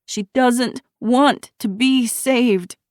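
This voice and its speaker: Woman voicing a quiet, stubborn teenage girl. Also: fairly high pitch, drawn-out words, no room sound, studio quality, voice pushed up. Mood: grim. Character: stubborn teenage girl, scared but steady.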